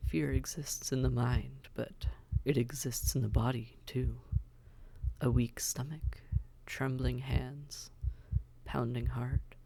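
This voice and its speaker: A man playing a suave, seductive demon prince in an intimate audio drama, breathy and often near a whisper, teasing and coaxing in formal wording. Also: mid-range voice, flat delivery, slow pace, dark tone, exaggerated voice. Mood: teasing, menacing.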